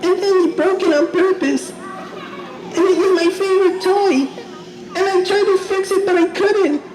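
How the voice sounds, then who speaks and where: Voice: very high voice, a little slow, a crowd in the background. A performer voicing an upset little boy in a puppet show, whining through a run-on list of complaints. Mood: upset, whiny. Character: upset little boy ranting about his woes. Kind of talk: theatrical puppet show storytelling. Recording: echoey live show, audience and music behind.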